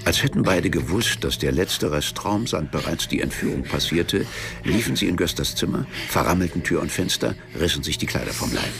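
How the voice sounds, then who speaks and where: A man narrating a literary radio drama in a measured, deadpan manner. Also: rumbling low, creaky. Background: music behind the voice.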